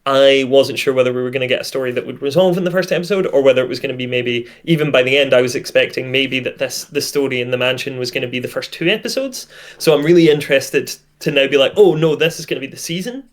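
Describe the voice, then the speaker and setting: A man's voice: mid-range voice, fast talker. Thoughtful man chatting casually. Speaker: co-host of an audio drama discussion stream. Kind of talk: casual chat, reviewing an audio drama.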